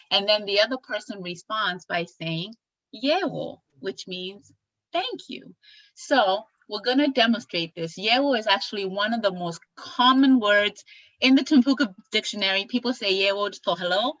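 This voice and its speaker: A young woman hosting an online event, upbeat and animated as she teaches greetings over a video call. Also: medium pitch, no room sound. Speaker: executive director and event host. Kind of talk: enthusiastic online presentation. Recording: slightly dull lo-fi call audio.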